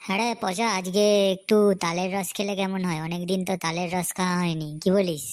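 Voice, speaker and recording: A woman's voice: on the low side, little pitch movement, brisk, slight background noise, close to an everyday voice. A woman voicing a bossy, scheming young boy in a comedy cartoon, with a pushy, chatty, cajoling way of talking. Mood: eager, chatty. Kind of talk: Bengali comedy cartoon voice acting.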